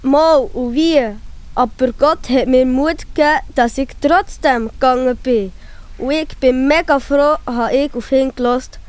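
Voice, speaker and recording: Woman doing young swiss german schoolboy in a kids audio play, really high voice, earnest and honest. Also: measured pace, darkish tone, clear, resonant tone. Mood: relieved, eager. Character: young schoolboy, downcast but honest and eager. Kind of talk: children's audio play acting.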